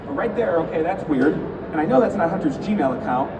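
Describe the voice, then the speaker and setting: Slightly hoarse man talking in echoey hall. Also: on the high side, animated, brisk. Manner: conversational storytelling, frequent "right?" and "okay?". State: slightly losing his voice, clears throat. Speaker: conference presenter. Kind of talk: conference session talk. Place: conference hall. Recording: echoey hall, mic far off, loud background noise.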